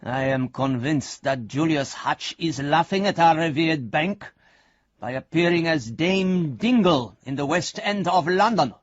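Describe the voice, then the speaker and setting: An actor playing an earnest investigator dictating a formal, deliberate report in a radio drama. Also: male voice, a little high, no echo, highs cut off. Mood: solemn, suspicious. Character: solemn, earnest bank investigator reporting to his governors.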